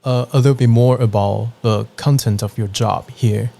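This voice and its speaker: A man's voice: medium pitch, steady tone, normal speed, creaky, mellow, slight background noise. Curious male podcast host asking questions. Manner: curious, brief questions with restarts. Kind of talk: casual interview.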